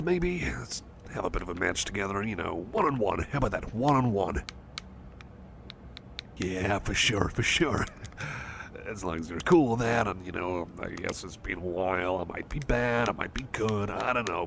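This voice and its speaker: A man's voice: fairly low pitch, vocal fry, slight background noise. A man playing a shy, friendly cyborg chum in an ASMR roleplay, hesitant and rambling, often apologising and repeating himself. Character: shy, friendly male cyborg chum, eager but self-doubting. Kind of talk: ASMR personal-attention roleplay, soft one-sided conversation.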